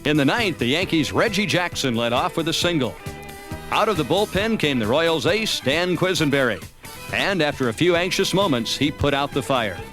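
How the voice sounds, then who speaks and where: American guy narrating a baseball highlight film, voice a bit high, music under him. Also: expressive, moderate pace. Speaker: documentary narrator. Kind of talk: scripted sports highlight narration.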